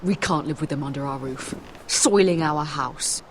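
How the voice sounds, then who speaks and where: A woman's voice: low voice, moderate pace, natural voice. Earnest, protective noblewoman played by an actress. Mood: anxious, pleading. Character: protective, anxious noblewoman of a besieged house. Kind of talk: video game drama acting. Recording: faint background noise.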